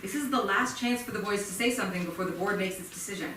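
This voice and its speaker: Woman playing strict calm school board chair, formal, super echoey theatre, noisy. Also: mid-range voice, far from the mic, natural voice. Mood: calm, official. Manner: formal, measured, authoritative. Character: firm, composed school board chair at expulsion hearing. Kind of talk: staged play reading.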